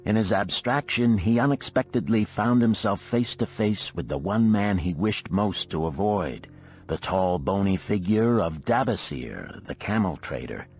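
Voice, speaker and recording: A man's voice: lowish voice, animated, a little slow, husky. A man reading a parable aloud as scripted audiobook narration.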